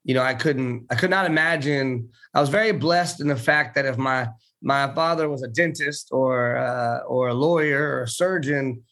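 A man in his thirties from Central Florida, a dealership owner answering interview questions in an earnest, halting way with fillers over a remote call. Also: mid-range voice, fairly bright tone. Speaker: car dealership owner, guest. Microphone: remote video call.

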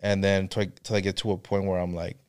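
A man's voice: low-pitched, level tone, fast, smooth. A man co-hosting a casual podcast, laid-back and profane, rambling as he talks. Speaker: podcast co-host.

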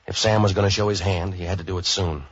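An actor playing a cynical, street-wise fixer with clipped, deadpan, wisecracking delivery in a 1940s radio noir style. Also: male voice, low voice, fast talker, breathy edge. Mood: brooding, cynical. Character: street-wise cynical hardboiled male private fixer narrating his case. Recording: dull old-time radio recording.

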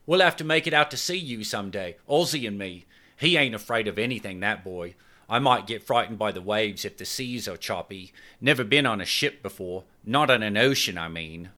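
A man's voice: on the low side, animated, a little fast, pushed and bright. A man voicing a cheeky, chatty young woman with a Cockney accent in audiobook dialogue. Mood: eager.

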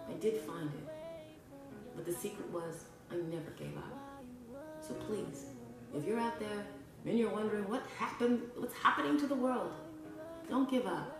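A woman from Canada hosting a podcast, speaking in an earnest, emphatic, preacher-like way as she delivers a motivational spiritual monologue. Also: mid-range voice. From Canada, with family from Jamaica. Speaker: podcast host. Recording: roomy echo, distant mic, music behind. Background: music.

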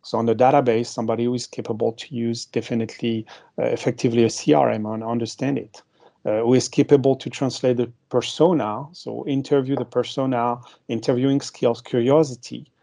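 French-accented man, gravelly and mellow. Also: mid-range voice, little pitch movement, a little fast. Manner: hesitant, many fillers and restarts. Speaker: marketing executive guest. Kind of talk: podcast interview answer.

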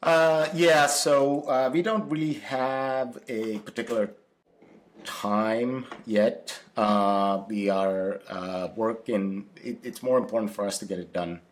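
A man from Finland, answering interview questions in a halting way, full of fillers and restarts. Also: lowish voice, steady tone. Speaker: podcast guest, company founder.

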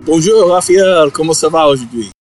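A man hosting a relaxed interview, talking in halting phrases full of filler sounds and quick chuckles. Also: on the high side, faint music behind. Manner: hesitant, many filler sounds, chuckles often. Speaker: podcast host. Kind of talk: conversational interview.

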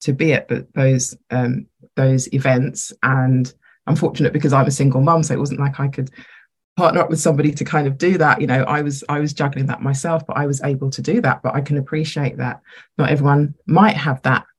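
A woman co-hosting a casual podcast chat, her voice croaky today as she thinks aloud in a reflective way. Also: very deep voice, fairly even intonation, brisk. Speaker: podcast co-host.

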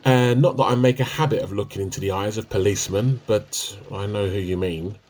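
An actor playing an evasive, sheepish husband with a dry wit in a radio play comedy, hesitant and teasing. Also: male voice, on the low side, fairly even intonation, fairly quick, a little mellow, lightly acted.

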